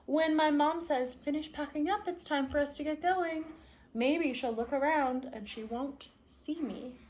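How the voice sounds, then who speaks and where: A woman's voice: sits high, measured pace, a little mellow, rough recording. A woman voicing a stubborn young storybook boy who refuses to move, speaking emphatically and defiantly. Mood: scheming. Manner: emphatic, defiant first-person storybook delivery.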